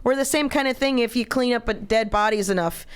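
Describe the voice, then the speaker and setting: A woman's voice: fairly high pitch, a little fast, clean tone. A woman comedian chatting casually as a podcast guest, with a blunt, matter-of-fact, rambling way of talking. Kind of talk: casual philosophical chat.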